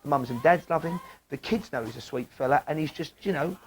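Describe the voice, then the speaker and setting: A man's voice: fairly high pitch, a little fast. A British man talking casually and enthusiastically as a documentary interviewee.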